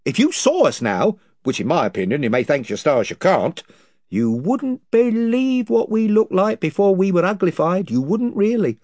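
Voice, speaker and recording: A self-important chief of invisible creatures who rambles, circles back and forgets where he was, as a man reading the story puts him on. His voice is pitched above his plain one, husky and very lively. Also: moderate pace, no room sound, clean studio sound. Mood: rambling. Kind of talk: audiobook character voice.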